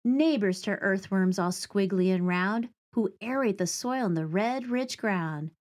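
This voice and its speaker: A woman in her forties reads a children's storybook aloud, speaking clearly and deliberately with an expressive storytelling delivery. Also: mid-range voice, noisy background. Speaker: teaching artist reading aloud to children.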